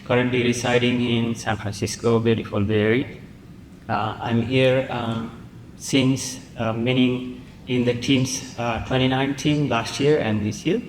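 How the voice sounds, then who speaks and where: A man from Nepal speaks as a panel guest, halting and full of fillers and pauses. His voice rings out in a large hall with a long reverb and a faint hiss behind it. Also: mid-range voice, flat delivery. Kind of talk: conference panel answers. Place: large conference room.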